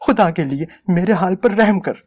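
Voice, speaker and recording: An exhausted, desperate woman, performed by a male reader, pleads in a raised, high voice. The delivery is animated and stubborn, like a dramatic storybook character pushed past endurance. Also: moderate pace, slightly rough. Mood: weary, pleading. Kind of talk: dramatic Urdu short-story reading.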